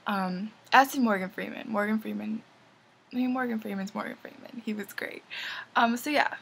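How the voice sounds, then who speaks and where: A woman's voice: mid-range voice, steady tone, moderate pace, gravelly, a bit bright, husky. A young woman casually reviewing the films she watched, rambling and enthusiastic with lots of fillers. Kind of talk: casual movie review vlog.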